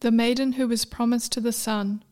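A woman reading scripted narration for a radio play in a measured, deliberate way. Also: a little high, fairly even intonation, darkish tone.

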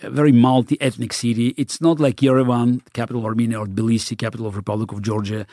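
A man's voice: medium pitch, little pitch movement, fast, dark tone, no echo, clean studio sound. A middle-aged man, answering interview questions earnestly, often restarting and repeating words as he searches for phrasing. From Soviet Union (Baku). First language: Russian. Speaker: interview guest.

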